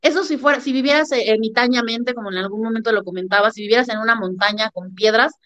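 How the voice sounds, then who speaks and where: A woman, a podcast guest arguing her point with emphatic, lively storytelling. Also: a little high, fast. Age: 30s. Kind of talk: conversational podcast talk. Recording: muffled lo-fi sound.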